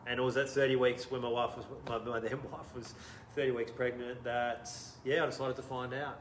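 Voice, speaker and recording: An Australian man in his thirties tells his own story as an interview guest. He talks in an animated way, laughs often and lets sentences trail off quietly. The room is echoey, with a faint hum behind him. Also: medium pitch, fairly quick. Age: 30s. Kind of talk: casual storytelling conversation.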